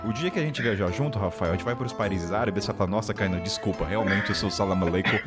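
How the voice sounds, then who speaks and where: A man hosting a casual podcast, talking fast and joking at his own expense. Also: on the low side, loud music behind the voice. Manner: fast, joking, self-mocking banter. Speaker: podcast host.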